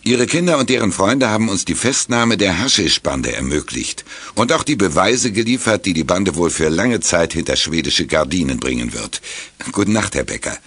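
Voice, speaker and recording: An actor playing a calm, middle-aged chief inspector with a measured official manner in a German children's audio drama. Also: male voice, low-pitched, husky, exaggerated voice. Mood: friendly official.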